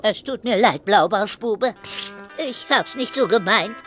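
A man's voice: high voice, animated, unhurried, fairly bright tone, faint music behind. A man voicing an eager, naive young sea sponge in a cartoon audio drama, with a gushing, childlike manner. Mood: remorseful.

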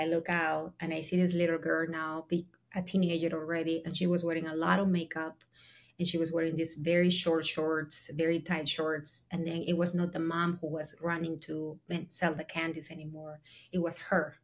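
A woman from Peru, telling her life story as a podcast guest over a video call, earnest with many ums and restarts. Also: low voice. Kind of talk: casual storytelling. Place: small room at home. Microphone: laptop webcam on a video call.

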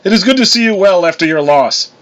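A man voicing a boastful thunder god with a booming, pompous voice and old-fashioned formal speech. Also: expressive, fast talker, a bit bright, highs cut off. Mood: courtly. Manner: pompous, archaic formal diction.

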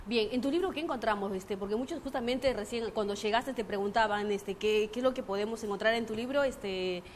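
A young woman hosts an interview in a TV studio, chatty and loose with fillers. Her voice is a little higher than most women's. A faint hiss of studio noise sits under her lapel mic. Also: fairly quick. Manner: chatty, uses voseo and fillers. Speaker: TV host. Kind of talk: casual interview hosting.

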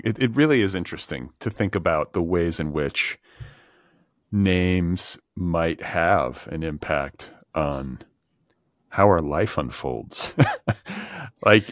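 A man's voice: low-pitched, no room sound. A middle-aged man hosting a podcast, wry and joking, with loose phrasing, speaking close to a large studio mic. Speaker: podcast host. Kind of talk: conversational podcast interview. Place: home room.

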